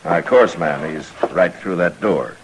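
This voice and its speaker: An American actor playing a steady, weary middle-aged US marshal in an old-time radio western, speaking in a measured, laconic way with hesitant pauses. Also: male voice, low-pitched. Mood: businesslike. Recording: noisy vintage radio drama recording.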